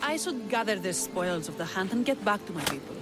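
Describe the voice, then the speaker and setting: A woman's voice: mid-range voice, expressive, brisk, lightly acted. An actress playing a devout, teasing young female Greek rebel leader in a video game, with an earnest manner. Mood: wistful. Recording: loud music behind the voice. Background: music.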